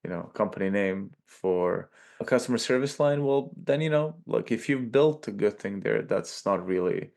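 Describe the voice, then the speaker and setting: A man with a Serbian accent, a podcast guest talking in a loose, animated way and tagging lines with "right?". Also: fairly low pitch, moderate pace, creaky, few pauses. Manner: animated, peppers speech with "like" and "right?". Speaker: podcast guest, tech company chief. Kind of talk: casual interview talk.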